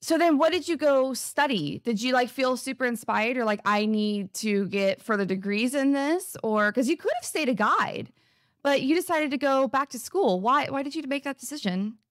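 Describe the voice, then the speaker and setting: A woman hosting a podcast interview, upbeat and enthusiastic, asking questions in a casual, quick way. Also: high-pitched, lively intonation, no echo, studio quality. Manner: upbeat, enthusiastic, fast-talking. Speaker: podcast host.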